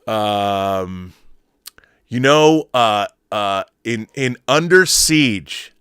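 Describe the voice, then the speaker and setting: Male livestream host, middle-aged, lowish voice, casual and opinionated fan talk with halting restarts, close dry sound. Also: expressive, a bit bright, smooth, no echo. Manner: halting, rambling, repeats words while thinking. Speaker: host of a film fandom livestream. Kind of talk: casual commentary, answering viewer messages.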